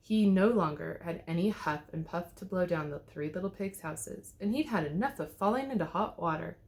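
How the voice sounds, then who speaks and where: A woman reading a children's storybook aloud as a teacher would, with expressive storytelling. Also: fairly low pitch, moderate pace. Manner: expressive, clearly paced storytelling. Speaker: teacher reading to second graders. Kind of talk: storybook read-aloud narration.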